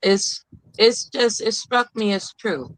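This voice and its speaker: A woman's voice: medium pitch, animated, slow pace, low-quality recording. Commanding woman on a discussion panel. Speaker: call-in panelist.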